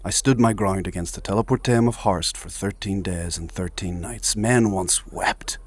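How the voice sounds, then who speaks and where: A man playing an ancient, proud and solemn warrior in a dark fantasy audio drama, speaking formally and gravely. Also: moderate pace. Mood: proud, dry. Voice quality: husky, very deep.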